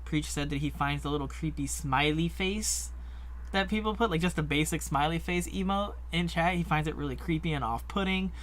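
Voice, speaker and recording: Man, bright tense voice, somewhat high, chatty, casual gaming podcast talk. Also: brisk. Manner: chatty, lively, lots of likes and ums. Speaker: co-host.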